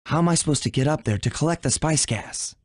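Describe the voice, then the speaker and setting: A man's voice: on the high side, fast talker, dry recording, clean studio sound, close to an everyday voice. A man voicing a suave young animal thief in a cartoon video game, wry, casual and unflappable. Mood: wry, playful. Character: suave, laid-back, wisecracking young male animal thief.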